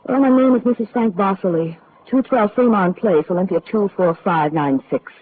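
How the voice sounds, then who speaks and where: A woman playing a troubled, wistful married woman in distress, speaking softly and mournfully in 1940s radio acting. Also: mid-range voice, normal speed, close to an everyday voice. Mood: hushed, wistful. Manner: soft, hushed, mournful. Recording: tinny old radio broadcast, faint hiss.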